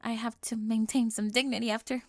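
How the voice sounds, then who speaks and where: Flustered teen cheerleader bully, played by a woman, high voice, stammering into breathy whispers, close dry sound, ASMR romance roleplay. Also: little pitch movement, fairly quick, no echo, natural voice. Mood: flustered, bossy. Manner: breathy half-whispers, stammering, nervous giggles. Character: flustered teenage cheerleader bully confessing a secret crush.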